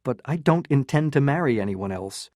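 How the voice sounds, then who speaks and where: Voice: mid-range voice, expressive, moderate pace, warm tone, dry recording, studio quality, close to an everyday voice. A narrator voicing a poised young countess who is guarded and proud, speaking in a lighter, clipped, composed way. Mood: quiet.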